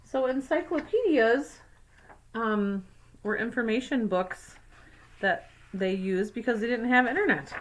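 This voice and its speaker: A woman's voice: on the high side, a little hiss or hum, poor audio. A woman teacher reading a picture book aloud to young children, adding simple explanatory asides. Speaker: second grade teacher.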